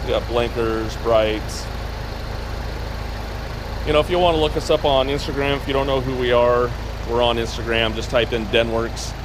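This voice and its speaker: A middle-aged man, a little raspy from allergies, walking around a car outdoors and pointing out details in a rambling, filler-heavy way. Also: mid-range voice, steady tone, moderate pace. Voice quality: rambling, filler-heavy delivery with many restarts. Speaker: car dealer showing a car for sale. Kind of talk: casual walkaround video. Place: outdoors on a gravel driveway. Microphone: camera held while walking. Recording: outdoor recording with clear background noise.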